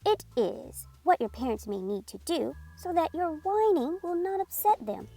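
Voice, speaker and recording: A woman reading a children's picture book aloud in a clear, gently instructive way. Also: high-pitched, very animated, normal speed, faint music behind. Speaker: storyteller.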